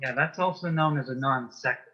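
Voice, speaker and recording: A man being interviewed as a guest in a casual chat, answering tersely in a dry, deadpan manner. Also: mid-range voice, quick pace, dull audio. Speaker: interview guest, author and actor.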